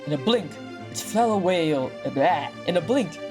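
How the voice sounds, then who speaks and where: A solemn storyteller voiced by a woman narrates a mythic visual novel in a low voice. The delivery is measured and dramatic, with music clearly present in the background. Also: lively intonation, natural voice.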